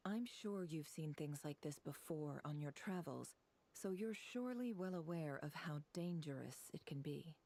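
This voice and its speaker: A woman playing a composed, shrewd leader in an anime game, speaking in a measured, formal, commanding way. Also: sits low, warm tone.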